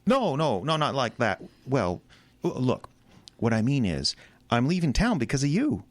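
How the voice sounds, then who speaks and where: A man's voice: medium pitch, sing-song, normal speed, no echo, lightly acted. An actor with an American accent playing a goofy, talkative small-town geek in his thirties, rambling and stammering eagerly in an audio drama. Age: 30s.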